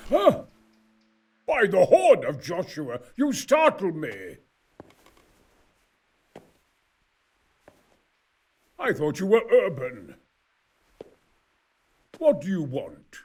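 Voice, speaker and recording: An actor playing a genial, self-important exiled engineer in a video game, talking in a wry, hesitant, gentlemanly way. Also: male voice, sits high, wildly swooping pitch, slow, pauses often, husky, soft background music, full character voice. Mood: startled. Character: genial, erudite exiled male engineer, self-important about his opus. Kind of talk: video game cutscene acting.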